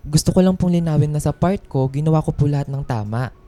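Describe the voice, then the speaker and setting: Woman playing a polite beaten-down filipino office worker, deep very soft voice, pleading, radio drama w/ faint music. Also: fast, natural voice. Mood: defensive, pleading. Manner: deferential, pleading. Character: earnest, put-upon young office employee, polite and wounded.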